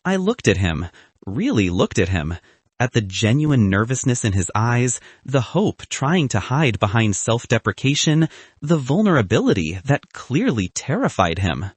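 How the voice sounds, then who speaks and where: A man's voice: medium pitch, big pitch swings, normal speed, breathy edge, dry recording. A man narrating a first-person romance story from a script, in the manner of an audiobook.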